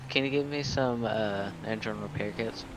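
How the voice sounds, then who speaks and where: A man's voice: fairly low pitch, normal speed. A man chatting casually as a player in an online roleplay game. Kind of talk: casual chat while roleplaying in a video game. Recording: noisy game voice chat, keyboard clatter.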